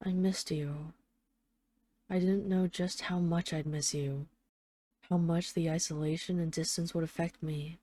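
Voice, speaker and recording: Actress as female mafia boss in hiding, lovesick and apologetic. Lowish, soft-edged dark tone, earnest halting delivery, intimate audio roleplay. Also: fairly even intonation, no room sound. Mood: regretful, vulnerable. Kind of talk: intimate audio roleplay, one side of a phone call.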